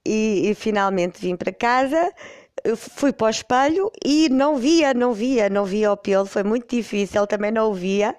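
A middle-aged woman with a European Portuguese accent hosts her podcast while stuffy with a cold. She rambles and corrects herself with amusement as she tells a personal story. Her voice is smooth and clear, recorded close and dry. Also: mid-range voice, normal speed, darkish tone, no echo. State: congested with a cold. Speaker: podcast host.

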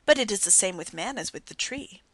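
A woman reading the part of a calm male prophet in an audiobook, measured and solemn. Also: mid-range voice, no room sound. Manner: measured, solemn archaic speech.

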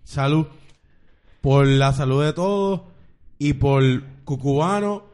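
A Puerto Rican man with a slightly high-pitched voice chats loosely among friends. He talks in crude slang and likes to tease. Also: slow pace, a little mellow, clean tone. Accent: Puerto Rican Spanish. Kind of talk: casual group chat.

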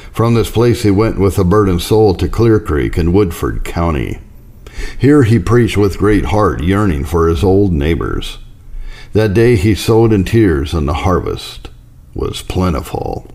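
A man reading a historical religious account as a scripted narration, in a measured, formal manner. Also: low-pitched, mellow.

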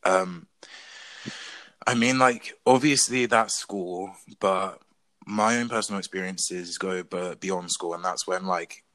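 A young Englishman with a low, deep voice and a bright, forceful edge to it, speaking earnestly in a casual conversation. He talks from the heart and stops and restarts mid-thought as he works through his own experiences. The sound is close and dry. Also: takes it slowly, no room sound. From England. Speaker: discussion participant. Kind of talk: casual discussion.

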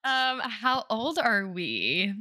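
A woman's voice: fairly high pitch, sing-song, extremely slow, bright, forceful tone, dry recording, clean studio sound. A woman in her twenties, a teacher co-hosting a podcast, chatting casually with a friend and laughing often. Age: 20s. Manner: bubbly, giggly, chatty. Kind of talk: casual chat between friends.

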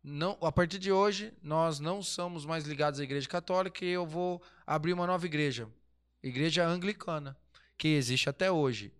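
A man's voice: on the high side, brisk. Chatty man rambling through history. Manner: rambling, restarts often, asks himself rhetorical questions. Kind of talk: casual history chat.

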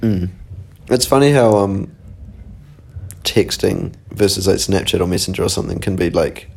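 A man with a New Zealand accent and a fairly low voice, chatting on a podcast. He sounds laid-back and easygoing, with casual swearing running through his talk. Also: normal speed, vocal fry, slight background noise. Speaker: podcast co-host. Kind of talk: casual chat.

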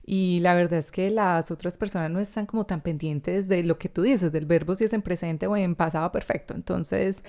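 A young woman hosts a relaxed podcast chat from her own apartment. Her voice sits a little low, and she is chatty and affirming, with frequent agreement. Her questions come in long, friendly run-ons. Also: moderate pace, few pauses. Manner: chatty, affirming, full of backchannel. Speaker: podcast host. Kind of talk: casual conversational interview.

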